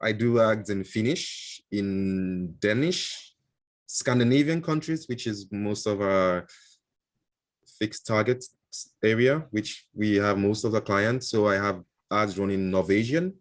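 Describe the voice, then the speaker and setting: A man with a fairly low voice talks loosely as an interview guest, stopping often for "um" and "uh" and restarting his sentences. His is Igbo, and he is heard through a video call. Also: measured pace. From Nigeria. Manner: hesitant, many fillers and restarts, chuckles often. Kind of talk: casual interview answers.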